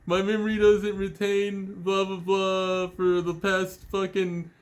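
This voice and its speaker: A man's voice: high voice, completely flat delivery, slow pace, pitched above the performer's own voice. A man mockingly voicing an evasive AI chatbot that innocently denies everything. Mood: feigned innocence.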